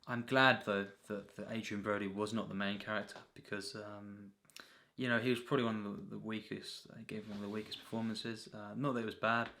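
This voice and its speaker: A man with a fairly low, creaky voice gives an off-the-cuff film review. His delivery stays in a narrow pitch band with a hard, forceful edge. He speaks haltingly, circling back and repeating himself as he goes. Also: flat delivery. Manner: halting, repetitive, frequent throat clearing and filler phrases. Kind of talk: unscripted, rambling film review.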